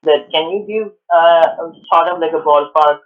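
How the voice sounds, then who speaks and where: A man's voice: fairly high pitch, moderate pace. A man co-hosting an interview in an agreeable, conversational way, repeating words for emphasis. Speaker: seminar co-host. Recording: tinny, phone-like online call.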